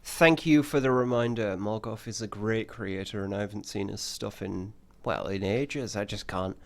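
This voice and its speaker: Rambling low-voiced podcast host. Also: male voice, normal speed. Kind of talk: casual podcast discussion.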